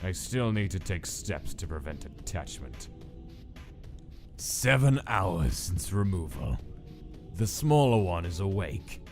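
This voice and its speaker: Man playing clinical skeleton scientist, low register, very animated pitch, formal, irritable, comic dub, music underneath. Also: slow pace, full character voice. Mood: clinical, wary. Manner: formal, precise, terse, exasperated when disturbed. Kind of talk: scripted log narration in comic dub.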